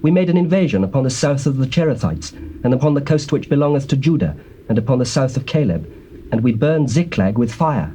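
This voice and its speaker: An actor playing a fearful young Egyptian servant, earnest and theatrical, in a dramatized scripture recording. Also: male voice, mid-range voice, very animated, brisk, mellow, lightly acted, low-quality recording. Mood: submissive, explaining. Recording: hissy old cassette tape recording.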